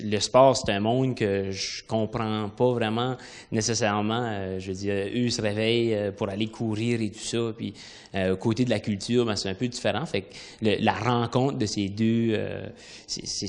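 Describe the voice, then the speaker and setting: A man from New Brunswick with an Acadian accent, answering an interview hesitantly with many fillers and restarts. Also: lowish voice. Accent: Acadian French. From Canada, New Brunswick.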